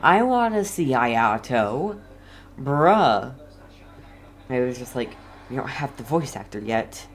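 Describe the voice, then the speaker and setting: A woman's voice: rumbling low, very animated, takes it slowly. A woman giving casual gameplay commentary with wry, playful asides as she reacts to the game. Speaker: let's player reacting to a game.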